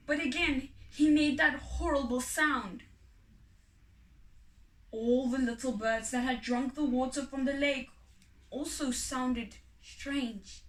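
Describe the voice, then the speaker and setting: A woman narrating a children's folk tale expressively, with deliberate, dramatic phrasing and pauses. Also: high voice. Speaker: storybook reader. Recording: echoey room, distant microphone.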